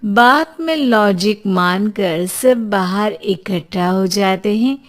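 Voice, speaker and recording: A woman's voice: mid-range voice, animated, slow. A woman narrating a scripted story as its storyteller.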